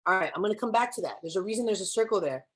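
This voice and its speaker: A woman's voice: mid-range voice, lively intonation, rapid-fire, husky. A young woman giving a keynote speech to students, switching between English and Spanish and asking the audience questions. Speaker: keynote speaker. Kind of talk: speech to students with spoken-word poem.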